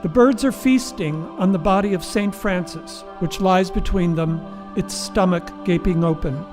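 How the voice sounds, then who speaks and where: A man's voice: high voice, normal speed, over music. A man giving scripted narration, setting the scene for an audio play. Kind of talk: scripted stage-direction narration.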